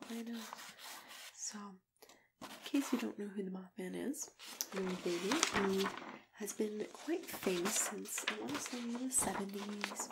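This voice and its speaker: A woman doing a soft-spoken, often whispered ASMR roleplay as a kindly, gently chatty matchmaker who reassures her client. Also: mid-range voice. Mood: reassuring, then chatty, sympathetic. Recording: clearly noisy, keyboard typing and clicks.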